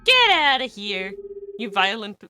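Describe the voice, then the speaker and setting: A young woman streamer who speaks Danish natively, reading a visual novel aloud and chatting with viewers, giggly and excitable with sudden squeals. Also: mid-range voice, unhurried, over music. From Denmark.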